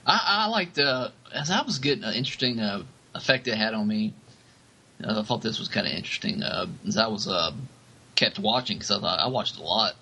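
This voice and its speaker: Bright, husky male podcast co-host. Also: on the low side, expressive, a little fast, low-quality recording. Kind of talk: casual discussion.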